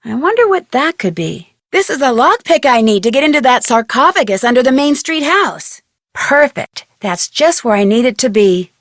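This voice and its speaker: A young American woman playing a curious, chirpy amateur detective in an adventure game, thinking aloud with clear enunciation. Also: high-pitched, very animated, moderate pace, no room sound, clean studio sound. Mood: musing. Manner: clearly enunciated, inquisitive musing to herself.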